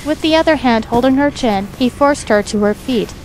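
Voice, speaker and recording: High-voiced woman narrating dramatic fiction. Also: moderate pace, darkish tone, a little hiss or hum, poor audio.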